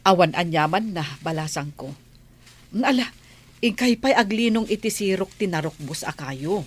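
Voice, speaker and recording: A woman's voice: lowish voice, expressive, normal speed. Kindly older village woman. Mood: kindly, concerned. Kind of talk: Ilocano radio drama acting.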